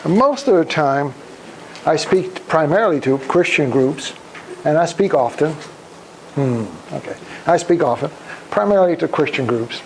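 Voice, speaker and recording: An elderly man from Poland giving a public talk, speaking deliberately in halting phrases and putting questions to his listeners. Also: a little high, expressive. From Krakow, Poland. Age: over 75. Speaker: guest speaker, Holocaust survivor. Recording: noisy live room recording.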